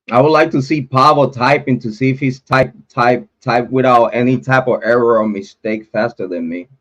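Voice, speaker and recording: A man's voice: mid-range voice, fairly bright tone, muffled recording. A man from Rico, hosting a casual livestream chat. His talk rambles and drags with fillers and the odd curse. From Puerto Rico. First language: Spanish. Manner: rambling, chatty, drawn-out with fillers and cursing. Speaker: livestream host.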